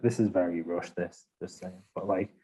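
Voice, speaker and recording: Mellow man, rambling gamer chat. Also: low voice, steady tone, moderate pace, husky. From Liverpool, England. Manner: rambling, laughs a lot, self-deprecating. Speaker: podcast panelist. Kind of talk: casual gaming chat.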